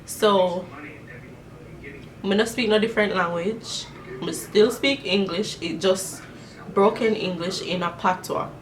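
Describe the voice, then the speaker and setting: A woman's voice: medium pitch, a little slow, long pauses, distant mic, poor audio. A young woman from Jamaica with a Jamaican accent, answering get-to-know-me questions in a chatty, rambling way. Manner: chatty, rambling, many fillers. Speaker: vlogger. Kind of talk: casual Q&A vlog. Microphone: camera mic.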